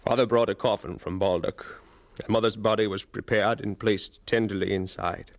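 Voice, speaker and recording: Solemn reminiscing narrator, lowish voice, scripted radio drama, dry recording. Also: male voice, steady tone, moderate pace, creaky, no echo.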